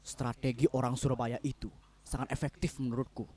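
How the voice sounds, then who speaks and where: An actor playing an anxious, idealistic husband in a dramatic reading, speaking in an earnest, apologetic manner. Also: male voice, mid-range voice, little pitch movement, a little fast, darkish tone, slightly rough, close to an everyday voice. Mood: earnest, resolute. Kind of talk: dramatic reading of a stage play.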